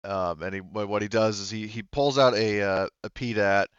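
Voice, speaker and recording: A man narrating a tabletop roleplaying game as game master, in a halting, conversational way, rephrasing as he goes. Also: on the low side, steady tone, clean tone, no echo, highs cut off.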